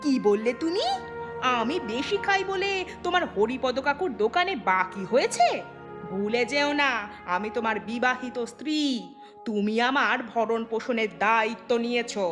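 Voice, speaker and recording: A woman's voice: on the high side, expressive, normal speed, over music, close to an everyday voice. A woman playing a gluttonous, quarrelsome wife in a cartoon, nagging and melodramatic. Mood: indignant.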